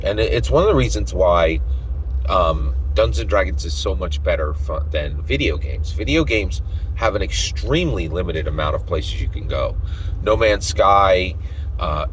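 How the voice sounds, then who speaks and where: A man's voice: fairly low pitch, slight background noise, rough recording. A man giving unscripted opinion commentary in an emphatic way, repeating phrases and checking his points with short questions. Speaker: tabletop gaming commentator.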